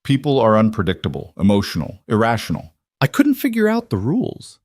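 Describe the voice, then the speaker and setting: Man playing an intense, low-voiced mafia boss. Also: wildly swooping pitch, fairly quick, darkish tone, no echo, clean studio sound. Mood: confiding. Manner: direct, earnest, probing. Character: intense, socially awkward mafia boss, direct and earnest.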